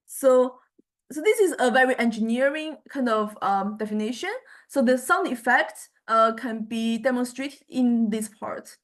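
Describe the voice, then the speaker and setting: A woman lecturer with a slightly high voice explains material in an informal, unscripted way. She speaks haltingly, circling back for the right word and often checking that listeners follow. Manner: halting, searching for words, frequent checking "right?".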